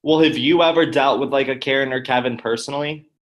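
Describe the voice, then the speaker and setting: A man from upstate New York hosting a casual conversation, relaxed and asking questions. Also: mid-range voice, fast talker.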